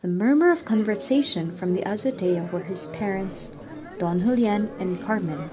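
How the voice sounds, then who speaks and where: A woman's voice: mid-range voice, expressive, moderate pace, a crowd in the background. A woman narrating and announcing a scripted radio drama in a dramatic storytelling style. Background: faint music.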